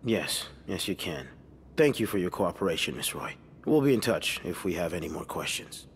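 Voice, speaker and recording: An actor playing a skeptical, middle-aged police detective in a mystery game, questioning in a probing, condescending manner. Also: male voice, deep voice, big pitch swings, normal speed. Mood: resigned, curt. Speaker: video game character. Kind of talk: narrative mystery game voice acting. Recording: long room reverb, faint noise.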